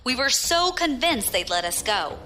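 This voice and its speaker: A woman's voice: sits high, sing-song, normal speed, pushed and bright, a little hiss or hum, full character voice. An actress playing a battle-hardened, proud young female pirate captain with a wry storytelling manner in a science fiction audio drama. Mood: scornful.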